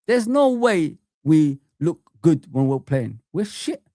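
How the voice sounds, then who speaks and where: A man's voice: on the high side, sing-song, slow, dark tone, no echo, clean studio sound. A young man hosting a live fan chat stream, ranting heatedly and repeating himself.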